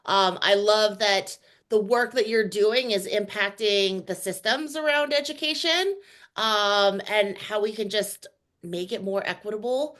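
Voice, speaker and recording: A woman's voice: medium pitch, unhurried, a bit bright. A woman hosting an interview, upbeat and affirming, with casual fillers. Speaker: podcast host.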